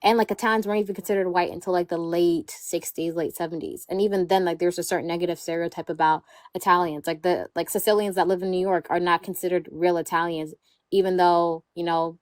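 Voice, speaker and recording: A woman's voice: lowish voice, fairly even intonation, quick pace, dry recording. A young woman hosting a casual podcast chat, speaking in a rambling way full of "like" and "you know", careful to leave room for nuance. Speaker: podcast host. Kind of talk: casual conversation.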